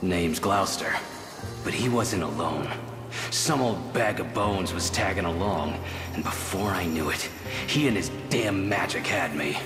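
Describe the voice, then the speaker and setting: An actor playing a proud, gruff but big-hearted bandit boss in a video game, brash, slangy and familiar. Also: male voice, deep voice, a little slow. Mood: gruff, wry. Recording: roomy echo, music behind.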